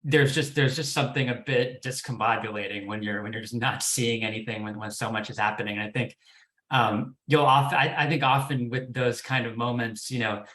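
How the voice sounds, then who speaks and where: A man's voice: medium pitch, steady tone, a little fast, sharp tone. A man giving explanations as a development executive on a panel, hedging and repeating words as he thinks. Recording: echoey, roomy sound.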